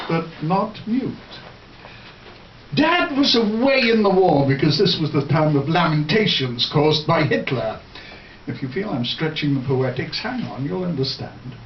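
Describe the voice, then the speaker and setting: Slow, high-voiced man reciting poetry. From Wales. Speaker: poet. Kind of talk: live poetry reading with spoken introduction. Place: live reading in a bar. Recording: roomy, distant, muffled, faint noise.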